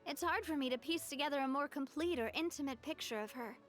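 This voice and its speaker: A woman's voice: high-pitched, fast, a bit bright. An actress playing a confident young heiress who leads her late father's organization, talking frankly and easily in a game cutscene. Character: confident young woman, heiress leading a family group. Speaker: leader of a family organization. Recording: game audio with faint music.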